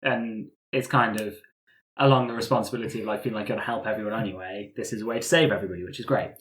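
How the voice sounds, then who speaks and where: A man's voice: on the low side, steady tone, rapid-fire, a bit bright. A man playing a tabletop roleplaying game, improvising in an amused, easygoing, casual way. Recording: echoey room.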